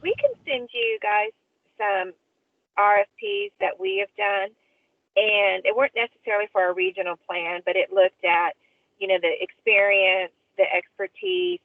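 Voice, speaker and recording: A woman's voice: medium pitch, animated, normal speed, no echo. A woman calling in from a car, giving informal advice on a conference call over the phone. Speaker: regional council staffer on call. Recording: dry, band-limited phone line.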